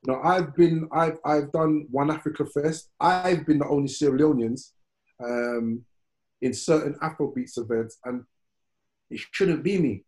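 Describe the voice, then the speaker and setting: A man's voice: fairly high pitch, a little slow, a little mellow. A man in casual podcast chat, talking in a rambling way with many restarts and repeated words.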